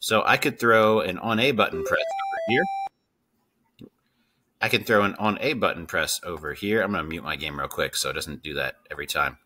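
A man's voice: low voice, a little fast, sharp tone, a little hiss or hum. A middle-aged man hosting a casual screen-share coding tutorial. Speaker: tutorial host.

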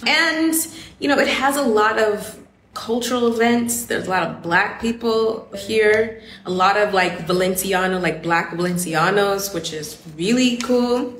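A woman's voice: medium pitch, a little slow, a bit bright, slight background noise. Chatty American woman in her thirties. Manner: chatty, laughing, lots of "like" asides. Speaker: podcast host. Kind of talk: casual chat. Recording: echoey street recording with faint ambience.

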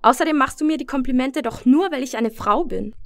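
A woman's voice: high voice, fairly quick, no room sound, clean studio sound. A young woman playing a combative, self-assured intern detective in an audio drama parody, assertive and indignant.

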